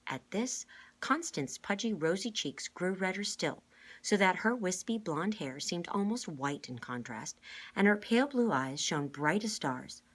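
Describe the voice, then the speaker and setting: Low, husky woman reading aloud. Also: expressive, measured pace. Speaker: reader. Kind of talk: storybook read-aloud.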